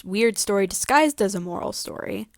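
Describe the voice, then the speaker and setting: A woman's voice: medium pitch, animated, moderate pace, dry recording, clean studio sound. A woman co-hosting a podcast, talking casually as she discusses a story. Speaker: podcast co-host.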